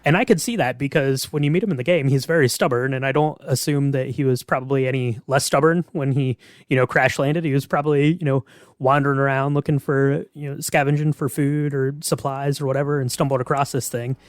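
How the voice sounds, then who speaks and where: A man's voice: mid-range voice, steady tone, fairly quick, dry recording. Man in casual chat, close dry sound. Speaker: podcast host. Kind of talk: casual chat about game lore.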